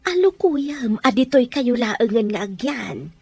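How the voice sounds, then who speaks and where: A woman playing a gentle, scolding mother. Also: on the high side, very animated, measured pace, gravelly, slightly rough, no echo, full character voice. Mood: tender. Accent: Ilocano. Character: caring mother of a soon-to-be bride.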